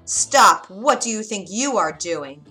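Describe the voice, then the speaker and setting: Woman voicing a loud, scolding fairy-tale witch. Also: mid-range voice, sing-song, slow, soft background music, full character voice. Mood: furious. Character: mean, shouting, bullying old witch from a fairy tale.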